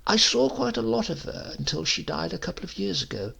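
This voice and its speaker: A man acts out a sly old poisoner from a humorous storybook. His voice is very creaky and husky, and he talks slowly and insinuatingly, with a seedy, false geniality. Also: high voice, very animated, a bit bright, pitched above the performer's own voice, full character voice. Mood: casually sinister.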